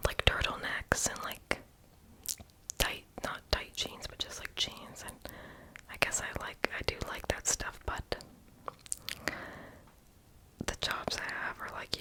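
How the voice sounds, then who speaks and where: Whispering young adult doing ASMR voiceover. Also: low-quality recording. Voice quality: unvoiced breathy whisper. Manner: rambling, casual, self-deprecating; mouth sounds, lip smacking. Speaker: ASMR video creator.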